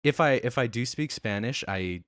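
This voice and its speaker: Lively, laughing young man. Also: medium pitch, moderate pace, smooth, no echo, clean studio sound. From United States. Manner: laughing, animated, playful. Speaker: podcast co-host. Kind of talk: casual chat.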